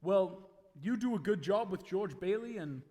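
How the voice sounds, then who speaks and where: A man playing a calm, authoritative superintendent of angels in a radio play, speaking in a measured, patient way. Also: high voice. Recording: echoey live hall taping.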